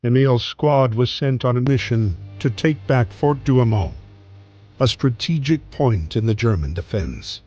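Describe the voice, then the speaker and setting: A man's voice: medium pitch, lively intonation, measured pace, mellow. A man narrating a wartime story in a scripted video game style. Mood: solemn storytelling. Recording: dull sound with music behind. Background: music.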